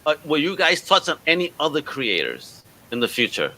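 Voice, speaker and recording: A man hosts a casual interview show with a bright, punchy voice. He is effusive and slangy, full of upbeat encouragement for whoever he is talking to. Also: a little high, huge pitch swings, moderate pace, a little hiss or hum. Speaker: podcast host. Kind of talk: casual video podcast interview.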